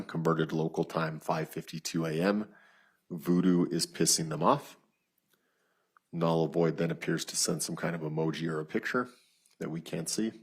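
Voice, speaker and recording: A man testifying as a detective in court, reading written messages aloud in a flat, procedural, matter-of-fact way with a husky voice. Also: deep voice. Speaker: lead detective testifying as witness.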